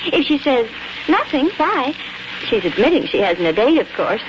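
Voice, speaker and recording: A woman's voice: high-pitched, very animated, moderate pace. An actress playing a sweet, playful young woman in love, in 1940s radio drama style. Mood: playful, romantic. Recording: muffled, noisy 1940s radio recording.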